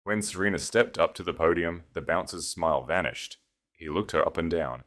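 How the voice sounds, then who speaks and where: Deep-voiced male story narrator. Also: moderate pace, fairly bright tone. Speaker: audiobook narrator. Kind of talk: dramatic scripted story narration.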